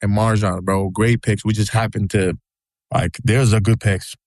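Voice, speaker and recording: A man from Greece with a Greek accent, co-hosting a casual sports podcast, talking in an animated, emphatic way and repeating himself for effect. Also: lowish voice, moderate pace, dry recording, studio quality. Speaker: podcast co-host. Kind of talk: casual chat.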